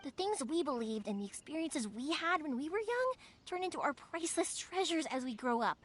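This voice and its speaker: A woman playing a cheerful, warmhearted young fireworks maker in a video game, chatty, bubbly and expressive. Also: high voice, fairly quick, slight background noise. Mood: earnest. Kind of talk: animated video game voice acting. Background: background music.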